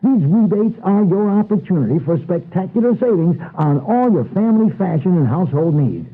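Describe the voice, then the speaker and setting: Male American pitchman, high animated husky voice, hard-sell retail read, thin radio sound. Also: brisk. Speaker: commercial announcer. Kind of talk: 1980s radio retail advertisement. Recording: thin, muffled radio broadcast tape.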